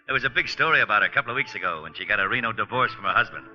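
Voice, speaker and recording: An actor playing a talkative, informal hotel bartender in a 1940s radio drama. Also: male voice, fairly low pitch, expressive, rapid-fire, lightly acted. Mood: gossipy. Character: chatty, familiar male hotel bartender. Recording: muffled old radio recording with music.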